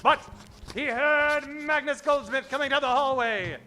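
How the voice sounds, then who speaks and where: A man plays an old-time radio serial announcer, grandiose and suspenseful. His very high, bright voice rings out theatrically in a roomy space. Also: a little slow, full character voice. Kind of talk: 1940s radio announcing parody. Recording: roomy stage sound, faint music.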